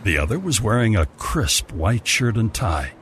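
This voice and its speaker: A man's voice: on the low side, very animated, moderate pace, a bit bright, a little hiss or hum. A man narrating a scripted radio story as the programme's announcer. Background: music.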